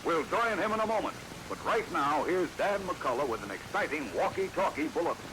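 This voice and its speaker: A man giving a dramatic, booming opening for a 1940s radio serial. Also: lively intonation, brisk. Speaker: radio show announcer. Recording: very noisy 1940s radio recording.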